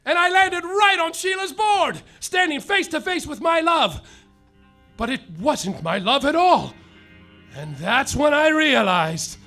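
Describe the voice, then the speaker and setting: A melodramatic noir detective. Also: male voice, extremely high pitch, very animated, unhurried, bright, forceful tone, soft background music, full character voice. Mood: boastful. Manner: melodramatic noir narration, overwrought. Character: hapless hard-boiled male private detective. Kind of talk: live comedy radio play. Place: theater stage, live.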